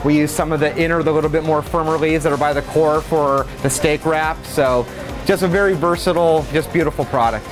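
A man's voice: a little high, fairly even intonation, fairly quick, over music, low-quality recording. A man from a restaurant describing the dishes and the produce in a friendly way.

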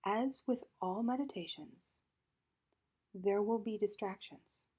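Breathy, mellow woman guiding meditation. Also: medium pitch. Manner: calm, gentle, measured instruction with pauses. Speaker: meditation guide. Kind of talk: guided meditation narration.

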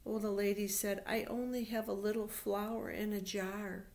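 A middle-aged woman reading Bible stories aloud to young children, explaining simply and patiently with deliberate emphasis. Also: medium pitch, level tone, creaky, darkish tone. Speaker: children's Sunday school storyteller. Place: living room at home. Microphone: webcam or laptop microphone at arm's length.

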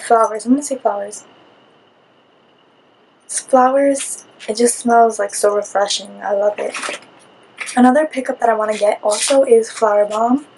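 Young woman, raspy from a cold. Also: fairly high pitch, normal speed, long pauses, a little mellow, a little hiss or hum, low-quality recording. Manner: chatty, rambling, with many "like" fillers. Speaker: beauty vlogger. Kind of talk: casual haul video chat. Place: small room in front of a curtain.

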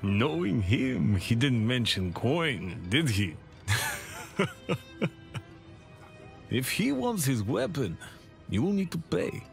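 A man's voice: medium pitch, big pitch swings, takes it slowly, gravelly, pauses often, soft background music, exaggerated voice. Sly, drawling, swooping male merchant. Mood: amused, sly. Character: sly, mocking male merchant demanding payment. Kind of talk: video game character dialogue.

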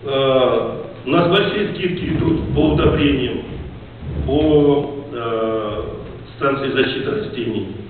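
Hoarse man scolding a hall from afar. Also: mid-range voice, slow pace. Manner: reproachful, rambling, rising to indignation. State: hoarse from a cold. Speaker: farmers' association head addressing a meeting. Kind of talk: emotional public speech. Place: large assembly hall with seated audience. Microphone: far camera in the audience rows. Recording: distant echoey hall, tinny, faint noise.

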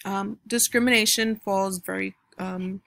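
A young American woman teaches a recorded lesson over her slides, walking students through terms patiently. Her voice carries a gravelly fry at the ends of phrases, recorded close and dry with no room sound. She fills pauses with ums and keeps checking understanding with "right?". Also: medium pitch, fairly even intonation, a bit bright, no echo. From United States. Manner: explanatory, frequent "um" and "right?" checks. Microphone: computer microphone, voice-over on screen recording.

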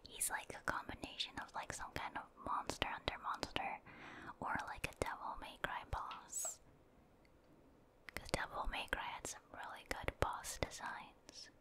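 Whispering woman doing ASMR game commentary. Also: a little slow. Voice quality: breathy, unvoiced whisper. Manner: chatty, reacting to play in short murmured asides. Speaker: gaming streamer and ASMR host.

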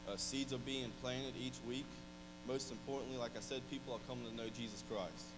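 An American man speaking informally and haltingly as he addresses a church congregation and leads prayer. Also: mid-range voice, steady tone. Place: large church sanctuary stage. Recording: loud mains hum and noise.

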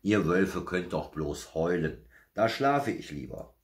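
A man's voice: deep voice, animated, slow pace. A man voicing a boastful, curious young boy bear in a children's storybook reading, exclaiming proudly. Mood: scornful.

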